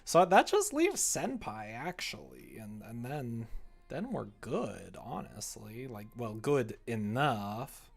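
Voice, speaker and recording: A man's voice: mid-range voice, wildly swooping pitch, slow, gravelly, breathy edge, faint music behind. A young man chatting casually on a livestream, thinking aloud in a self-deprecating, muttering way as he works. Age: young adult. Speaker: streamer writing a visual novel. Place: small room indoors at a computer.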